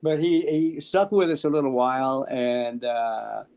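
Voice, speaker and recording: An American man in his seventies casually telling anecdotes in an animated, halting way, acting out the dialogue. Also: mid-range voice, vocal fry. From Memphis, United States. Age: 70s. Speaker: interview guest, musician. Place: small room at home, on a video call.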